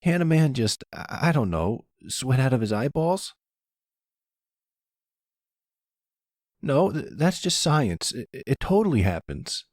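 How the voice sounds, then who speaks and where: A sensitive young man of college age as a male actor plays him, with a husky edge and a very lively pitch. He talks haltingly and defensively, close and dry, in an audio drama style. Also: no room sound. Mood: hurt, defensive. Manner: halting, stammering, higher and more boyish. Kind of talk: ASMR audio roleplay acting.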